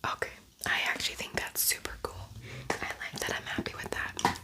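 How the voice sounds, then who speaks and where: A woman whispers throughout in a breathy, voiceless tone. She talks in a casual ASMR tutorial style, rambling a little as she thinks aloud. Her delivery is chatty and informal. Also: brisk. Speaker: ASMR creator and makeup tutorial host.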